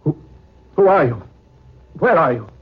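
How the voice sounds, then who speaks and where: An actor playing a genteel gentleman haunted by a rival, in a theatrical 1940s radio manner. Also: on the high side, very animated, unhurried, breathy edge, heavily acted. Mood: frantic. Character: suave gentleman turning frantic, haunted by a rival. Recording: muffled, hissy old radio recording.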